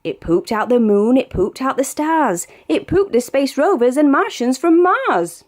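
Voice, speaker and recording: Female British storyteller for a rhyming picture book; expressive, sing-song delivery with a wide pitch range. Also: a little slow. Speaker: storybook reader. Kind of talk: read-aloud of a rhyming children's picture book.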